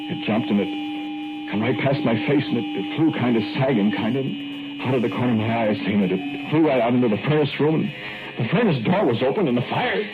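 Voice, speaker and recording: An actor playing a creepy, rambling rural man who keeps a giant fly, in 1940s radio acting. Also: low-pitched. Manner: folksy, rambling, giggling menace. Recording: tinny old radio broadcast with music.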